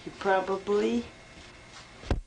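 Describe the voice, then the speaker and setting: A woman with a mid-pitched voice speaks slowly and casually. She is playful and coaxing, as if filming relatives. The amateur camcorder audio has clear noise in it. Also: fairly even intonation, darkish tone, rough recording. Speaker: family member filming her grandparents. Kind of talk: casual family chat. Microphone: behind the camcorder.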